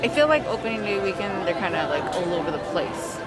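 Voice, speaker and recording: A woman's voice: medium pitch, big pitch swings, fast talker, low-quality recording. A young woman chatting casually as a food vlogger, reviewing what she tastes on camera outdoors. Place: outdoors at night in a busy theme park. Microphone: handheld camera at about arm's length. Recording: loud crowd and music behind.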